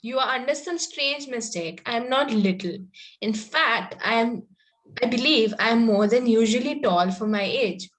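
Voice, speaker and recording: A woman reading an earnest, high-voiced young lady. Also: moderate pace, close to an everyday voice, low-quality recording. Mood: prim, earnest. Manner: formal, stumbles over the script. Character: earnest, romantic, precocious young Victorian lady. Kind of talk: amateur table read of Victorian comedy play.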